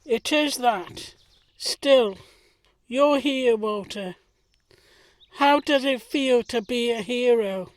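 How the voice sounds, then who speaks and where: A woman's voice: a little high, takes it slowly, long pauses, no echo. A woman playing a sensitive, earnest young male gardener in an audio play. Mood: gentle, earnest.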